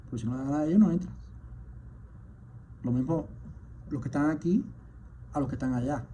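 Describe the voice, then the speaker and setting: A man, a physician, delivering an impassioned spoken message, indignant and firing off rhetorical questions, at times surging louder. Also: on the high side, fairly quick. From Cuba. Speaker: physician, rheumatologist. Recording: dull lo-fi sound with faint background noise.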